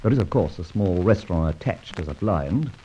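An actor playing a suave, flippant gentleman adventurer, bantering and clipped, in an old radio drama. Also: low-pitched, expressive, fairly quick, slight background noise. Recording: muffled old radio transcription.

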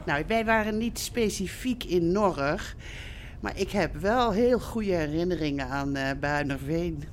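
A woman's voice: lowish voice, animated, unhurried, slight background noise. An actress playing a cheerful, chatty widowed mother of grown children in an audio drama, gushing and repeating herself to keep the peace. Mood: mildly defensive. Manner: gushing, repetitive, placating.

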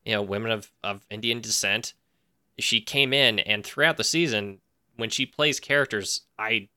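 A man's voice: on the low side, normal speed, bright, forceful tone, clear, resonant tone. A man telling anecdotes as a podcast guest, explaining casually and restarting to clarify. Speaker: podcast guest, audio drama writer. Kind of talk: casual interview chat, anecdotal.

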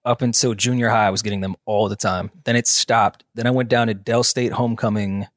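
A man's voice: lowish voice, fairly even intonation, a little fast, no room sound, clean studio sound. Playful, teasing man on a podcast. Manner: playful, teasing banter with frequent laughs. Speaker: podcast co-host. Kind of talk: casual chat.

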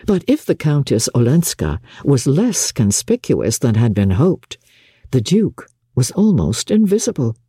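A woman reads classic fiction as a storyteller, with a husky, mellow voice. Her delivery is measured and dramatic, with a widely swinging melody. The sound is close and dry. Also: extremely low pitch, huge pitch swings, no room sound. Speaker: audiobook narrator and radio presenter. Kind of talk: scripted dramatic reading of a classic novel.

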